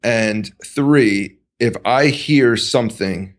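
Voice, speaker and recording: A man speaking as a podcast guest, talking in a deliberate, halting way with many pauses and restarts. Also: medium pitch. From United States. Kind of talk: casual conversational interview.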